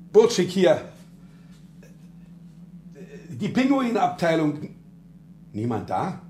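A man's voice: mid-range voice, darkish tone, slight background noise. A man playing a terse, grumbling older loner in a German children's radio play. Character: grumbling, laconic older man living alone, Herr Burczik.

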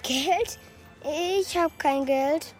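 An actor playing a lively, naive and cheeky little wooden puppet boy in a children's radio play, with a childlike, questioning way of talking. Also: high voice, extremely slow, soft background music. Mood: naive, puzzled.

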